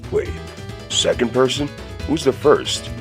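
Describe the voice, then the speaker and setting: A man's voice: medium pitch, expressive, takes it slowly, soft background music. A man voicing a laid-back, proud male cartoon gorilla in a fan audio drama, easygoing and matter-of-fact. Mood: curious. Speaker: co-host of a fan question show.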